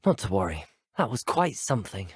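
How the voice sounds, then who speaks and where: A young British student wizard, the courteous hero of a video game. The voice is low and lively in pitch, with a slightly husky grain. The delivery is earnest, formal and well-spoken. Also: male voice, fairly quick, no echo, clean studio sound, heavily acted. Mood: pleased, musing. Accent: British English. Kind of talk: scripted video game dialogue lines.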